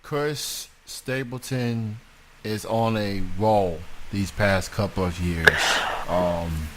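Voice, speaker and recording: A man's voice: lowish voice, steady tone, takes it slowly. A man hosting a music interview show, easygoing and hesitant with ums. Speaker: radio show host. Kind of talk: casual interview.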